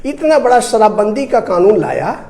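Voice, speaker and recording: A man's voice: high-pitched, big pitch swings, moderate pace, creaky, darkish tone. A man from India with a Bihari accent, giving impassioned political commentary, emphatic and repetitive, full of rhetorical questions. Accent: Indian, Bihar region. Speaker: debate guest, commentator.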